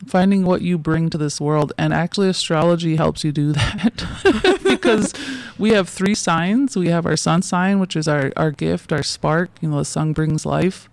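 Gravelly, rambling woman in her forties. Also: deep voice, moderate pace, hardly any pauses, darkish tone, dry recording. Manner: rambling, loose sentences with many fillers and restarts; chatty, laughs between thoughts. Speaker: interview guest, podcaster. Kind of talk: casual interview conversation.

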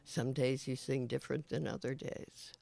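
Gravelly, halting older man. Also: medium pitch, steady tone, darkish tone, dry recording. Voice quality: raspy. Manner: halting, many fillers and restarts. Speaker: show host. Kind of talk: casual rambling monologue.

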